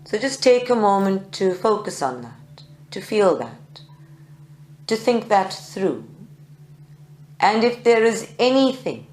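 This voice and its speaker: A woman leads a guided meditation in short, measured phrases with long pauses. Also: medium pitch. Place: live group session indoors at a creativity centre. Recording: echoey room, music behind. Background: meditation music.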